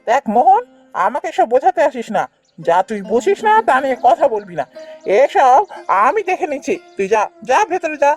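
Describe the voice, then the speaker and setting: A woman's voice: high voice, big pitch swings, brisk, soft background music. A woman voicing a harsh, domineering, miserly elder brother in a cartoon fairy tale, scolding and sneering in repeated outbursts. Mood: scornful.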